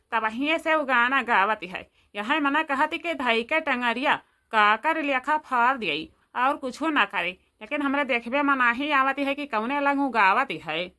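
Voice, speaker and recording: A talkative village woman from a cartoon, complaining in an Awadhi accent, voiced by a woman. Her voice is high and bright. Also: exaggerated voice. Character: chatty middle-aged village woman, gossiping. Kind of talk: cartoon voice acting.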